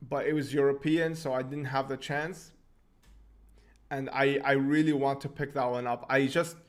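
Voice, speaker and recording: A relaxed young man hosting a live chat stream, answering questions off the cuff. He talks at a middling pitch, laughs often, and repeats words as he starts sentences. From Syria, raised in Canada.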